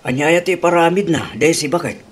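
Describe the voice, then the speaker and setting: A woman voicing a gruff, doubting husband in a comedy radio drama, grumbly and full of questions. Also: low voice, moderate pace.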